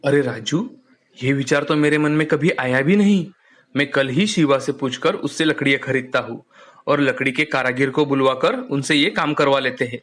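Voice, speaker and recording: A man's voice: medium pitch, moderate pace, a bit bright, natural voice. A man playing a friendly, hardworking father who drives a rickshaw in a storybook cartoon. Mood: thoughtful. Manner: polite, earnest.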